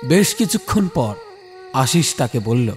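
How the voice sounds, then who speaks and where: A man's voice: medium pitch, very animated, measured pace, a little mellow. Guy reading a spooky story dramatically, mid voice, music playing behind him. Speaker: story narrator. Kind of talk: dramatic scripted horror story narration. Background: music bed.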